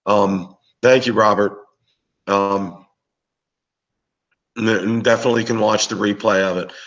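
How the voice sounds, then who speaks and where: Raspy, hoarse man, low voice. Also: fairly even intonation, a little slow, a bit bright, muffled recording. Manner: rambling, with many fillers and restarts. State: hoarse from bronchitis. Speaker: livestream show host. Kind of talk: casual live-stream chat with viewers.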